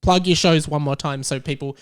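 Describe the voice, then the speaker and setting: A man with an Australian accent and a high-pitched voice talks casually as a podcast host. He is jokey and conversational, riffing on silly details with a guest. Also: fairly even intonation, brisk. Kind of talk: casual comedic chat.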